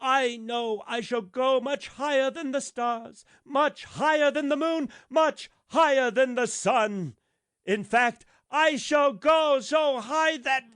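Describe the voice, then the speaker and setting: A vain, grandiose rocket from a storybook, boasting in a high, lifted voice, as a man puts him on. He talks down to everyone, swooping up in pitch. The sound is dry and close. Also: big pitch swings, slow pace, no echo, full character voice. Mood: boastful. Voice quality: grandiose, self-important. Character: pompous conceited male firework rocket. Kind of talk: storybook reading.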